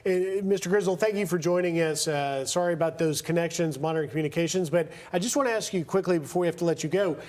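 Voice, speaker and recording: A man's voice: fairly high pitch, fast talker, hardly any pauses, a little mellow. A man in his fifties with an American accent, anchoring live television news and interviews. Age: 50s.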